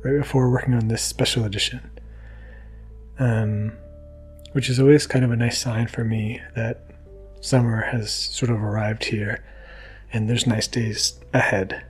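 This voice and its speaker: A man hosting a sleep podcast, speaking in a soothing, gentle, unhurried way. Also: mid-range voice, level tone, slightly rough, soft background music. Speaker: podcast host.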